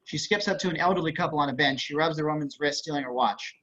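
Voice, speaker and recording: A man narrates a script at a table read. His voice is fairly high and forceful, carried over slightly dull video-call audio. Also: fast talker. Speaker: narrator of stage directions.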